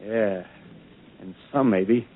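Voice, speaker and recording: A man with an American accent playing a fanatical, embittered artilleryman in a 1930s radio drama, rough-spoken and ranting in feverish bursts. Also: on the low side, slow pace. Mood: grim, bitter. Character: fanatical, embittered artilleryman survivor scheming to rule the world. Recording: scratchy, muffled 1938 radio broadcast, phone-like.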